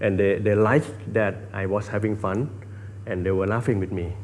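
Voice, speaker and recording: A man's voice: lowish voice, fairly even intonation, normal speed, darkish tone, a little hiss or hum. A Thai man with a Thai accent, hosting a casual interview in English as a second language, hesitant with many fillers. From Thailand. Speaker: interview host.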